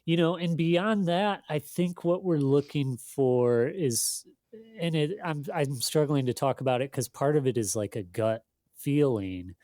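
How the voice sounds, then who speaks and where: A man speaking as an interviewed editor, casual and halting, thinking aloud with lots of conversational fillers. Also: a little high, darkish tone, no echo, studio quality. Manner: thinking aloud, halting, peppered with "you know" and "like". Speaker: magazine editor being interviewed.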